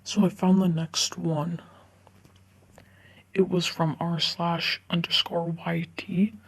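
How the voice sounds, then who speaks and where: Woman reading internet stories out loud, kinda monotone n gravelly, keeps tripping on words. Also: lowish voice. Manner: halting, stumbles over words. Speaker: narrator reading online stories for a video channel. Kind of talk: halting read-aloud of forum stories.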